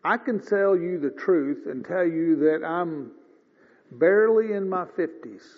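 A man in his fifties preaching a sermon in a folksy, conversational way, often clearing his throat. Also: fairly high pitch, animated, measured pace. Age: 50s. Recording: dull lo-fi sound with long reverb.

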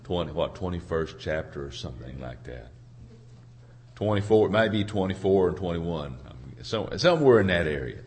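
A hoarse man preaching an informal sermon in a halting, folksy way, searching for his words and clearing his throat. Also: low voice, expressive, a little mellow. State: hoarse from a cold. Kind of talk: informal sermon with congregation responses.

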